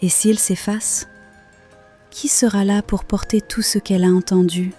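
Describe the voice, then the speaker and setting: A calm, wise woman confidant, played by a woman with a dark, mellow tone. She speaks in a gentle, probing way over faint music. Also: mid-range voice, normal speed, natural voice. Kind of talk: scripted audio drama.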